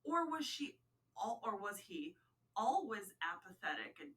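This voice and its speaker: A woman co-hosting a casual podcast chat, hoarse from a blown-out voice and half whispering, quick to push back. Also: mid-range voice, big pitch swings, a bit bright. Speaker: podcast co-host.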